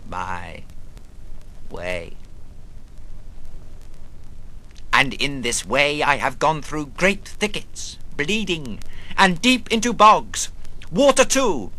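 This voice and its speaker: A brooding, eccentric man rambles through memories in a dramatic literary monologue. His voice is fairly high and forcefully bright, swinging theatrically between murmur and outburst with halting phrases. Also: big pitch swings, heavily acted, poor audio. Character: rambling, brooding, eccentric male narrator recalling his past. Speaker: reader of a literary prose monologue.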